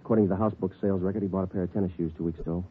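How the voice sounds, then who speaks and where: An actor playing a terse, matter-of-fact police detective in an old-time radio crime drama. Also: male voice, low voice, rapid-fire, slightly rough. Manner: terse, deadpan. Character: clipped, matter-of-fact male police sergeant, narrating detective. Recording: muffled old radio recording.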